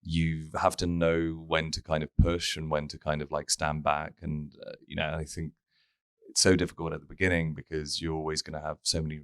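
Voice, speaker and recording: A man's voice: very deep voice, level tone, brisk, clean tone, no room sound, clean studio sound. A man from the Czech Republic who speaks with an English accent, telling his story in a rambling, conversational way as an interview guest. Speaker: interview guest, tech founder.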